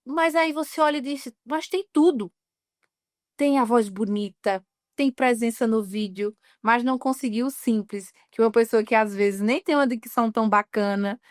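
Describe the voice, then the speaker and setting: Smooth-voiced woman journalist, reflective. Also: fairly high pitch, no room sound, studio quality. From Northeast Brazil. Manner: earnest, slows down on key words. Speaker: journalist guest. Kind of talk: reflective interview talk.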